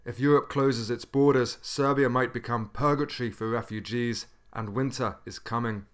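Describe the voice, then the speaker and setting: A man reporting from a refugee camp near a border, narrating and interviewing in a measured, serious tone. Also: medium pitch, fairly even intonation.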